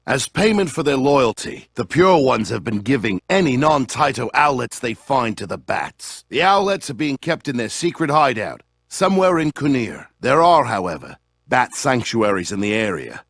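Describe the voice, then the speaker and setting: An earnest eagle ally. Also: male voice, medium pitch, fairly bright tone, slightly rough, dry recording, studio quality, full character voice. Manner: earnest briefing tone. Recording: game audio with music behind.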